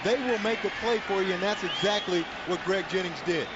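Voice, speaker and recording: A man's voice: high voice, fairly quick, a loud crowd. A man giving color commentary on a football game, breaking down plays in a plain, emphatic way. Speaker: color commentator, former player. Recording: muffled broadcast audio with loud noise.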